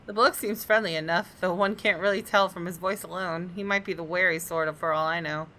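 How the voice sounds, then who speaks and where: A woman's voice: lowish voice, steady tone, fairly quick, bright, forceful tone, a little hiss or hum, poor audio. A woman gamer reading the first-person narration of a visual novel aloud. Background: game music.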